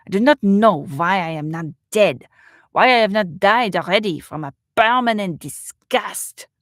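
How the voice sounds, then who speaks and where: A woman's voice: medium pitch, expressive, a little slow, no echo, close to an everyday voice. A woman takes on a German waiter with a firm German accent and a deadly earnest, disgusted temper. He declaims his complaints emphatically, like a storybook character giving a lecture, recorded close and dry. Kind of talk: audiobook character voice.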